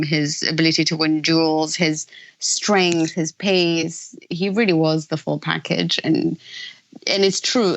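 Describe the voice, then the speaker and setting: A woman's voice: sits low, dull audio. A woman in her thirties talking football on a radio phone-in, chatty and enthusiastic, laughing easily. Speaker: football pundit. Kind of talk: casual radio chat.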